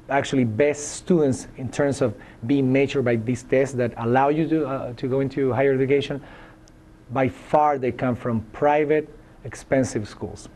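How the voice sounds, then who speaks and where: A man in his thirties from Chile, speaking English with a Chilean Spanish accent as a university professor answering interview questions. He talks in a thoughtful, halting way, with many fillers and restarts. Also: medium pitch, dark tone.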